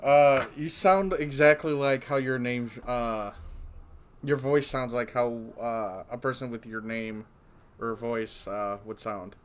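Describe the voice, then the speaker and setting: A man's voice: mid-range voice, steady tone, takes it slowly, slight background noise. A man bantering crudely with co-hosts while reading out chat messages. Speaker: streamer. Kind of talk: casual livestream chat.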